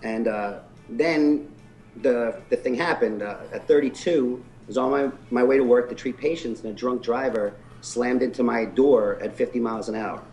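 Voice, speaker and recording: A man's voice: medium pitch, moderate pace, darkish tone, faint music behind. A man in his forties telling the story of his life in an interview, earnest and candid with some self-deprecating humor. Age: 40s. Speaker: physique competitor guest.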